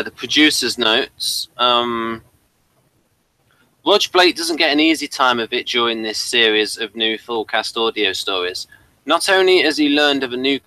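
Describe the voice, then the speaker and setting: A middle-aged man with a bright, forceful voice reads notes aloud at his desk, stumbling now and then. The sound is close and dry, with no room around it. His tone stays conversational. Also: mid-range voice, no echo, low-quality recording. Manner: reads text aloud steadily from a tablet, with small stumbles. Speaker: podcast host. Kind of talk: casual review chat, reading liner notes aloud. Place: home study with bookshelves. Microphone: desk mic, wearing headphones.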